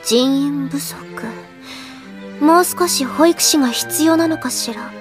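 A woman's voice: high voice, slow pace, over music. A woman playing a young girl from a hero's circle of companions in an anime audio drama. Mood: brisk.

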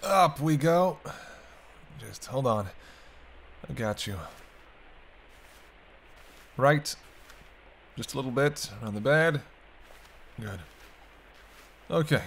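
A man's voice: medium pitch, lively intonation, slow pace, vocal fry, pauses often, fairly bright tone, slight background noise, full character voice. A man playing a wry, teasing vampire. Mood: gentle, guiding. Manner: bantering, wry, murmured asides. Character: teasing, sardonic male vampire, centuries-weary but protective. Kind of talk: intimate roleplay audio drama, addressing the listener.

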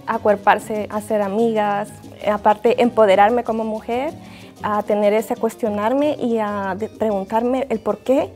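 A woman's voice: medium pitch, dark tone. A woman in her thirties from El Salvador, speaking earnestly and thoughtfully about her experience as a migrant. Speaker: interviewee. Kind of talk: reflective testimony. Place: bright indoor hall.

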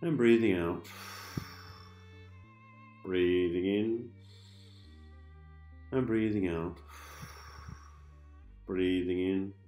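A man guiding a sleep hypnosis session in a calm, gentle manner, giving repetitive soothing instructions with pauses. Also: low voice, reverberant, faint music behind. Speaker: hypnosis host, meditation guide.

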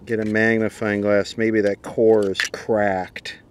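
Low voiced guy muttering dryly thru a repair demo, slow, bit of noise outside. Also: flat delivery. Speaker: video host and radio repair hobbyist. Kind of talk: casual unscripted demonstration narration.

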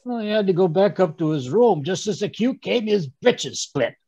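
A man's voice: high voice, expressive, normal speed, mellow, slightly rough, muffled recording, exaggerated voice. A man playing a flustered, bumbling doctor who stammers his way through an old-style radio play. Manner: hesitant, stammering. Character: flustered, bumbling male doctor, a detective's companion. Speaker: actor. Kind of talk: 1930s radio acting.

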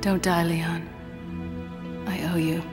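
A woman's voice: fairly low pitch, level tone, slow pace, loud music behind the voice. An actress playing a mysterious, guarded female agent in a video game, clipped and controlled. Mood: cool, guarded. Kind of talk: video game cutscene acting.

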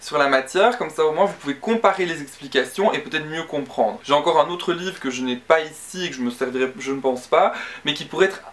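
A man's voice: a little high, a little fast, hardly any pauses, fairly bright tone. A young man, a student, chatting earnestly to camera in a casual vlog from his bedroom, with plenty of fillers and restarts. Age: young adult. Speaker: student vlogger. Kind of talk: casual study-tips vlog. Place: bedroom with bookshelf and screen.

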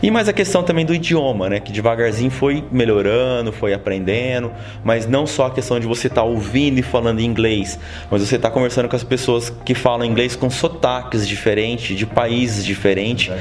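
Fast-talking man, interior São Paulo accent. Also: medium pitch, faint music behind. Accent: interior São Paulo Brazilian Portuguese. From Brazil. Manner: storytelling, lively, many "sabe?" tags. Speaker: interview guest. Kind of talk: casual interview talk. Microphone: handheld mic held by interviewer.

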